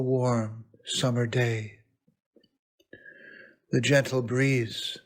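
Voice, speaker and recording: A man speaks as a priest leading a guided prayer meditation. His delivery is extremely slow and reverent, broken into short phrases with long pauses. The pitch is ordinary for a man.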